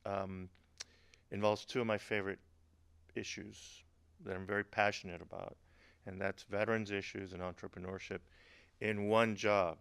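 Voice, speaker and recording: A middle-aged man from Honduras giving a webinar presentation as a government agency speaker, talking in a halting way with many fillers and restarts and light humorous asides. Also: lowish voice, level tone, creaky, a bit bright, smooth, dry recording.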